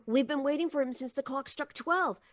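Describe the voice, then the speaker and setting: A woman's voice: high-pitched, fairly quick, no echo, close to an everyday voice. A woman voicing an elderly, anxious, deferential housekeeper, in old-time radio drama style, stammering and ominous. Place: small home recording booth. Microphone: close studio microphone, headset monitoring.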